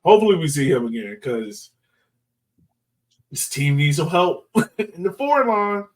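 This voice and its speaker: A man hosting a casual soccer podcast, talking in a halting, hesitant way. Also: on the high side, big pitch swings, recorded from a distance. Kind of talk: casual sports chat.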